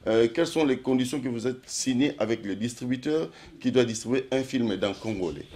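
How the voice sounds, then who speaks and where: A man's voice: mid-range voice, brisk, a little mellow. A man hosting a TV interview show, asking long questions full of hesitations and switching into Lingala now and then. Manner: lots of "euh" hesitations, mixes in Lingala. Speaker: TV show host.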